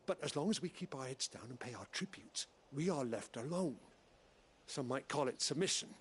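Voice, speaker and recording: An actor playing a stern, wary village leader in a fantasy video game, husky and firm, speaking formally. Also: male voice, mid-range voice, lively intonation, normal speed, fairly bright tone, a little hiss or hum, natural voice. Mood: grave, resigned. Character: stern, wary male village leader, proudly resigned to submission. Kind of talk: scripted video game acting.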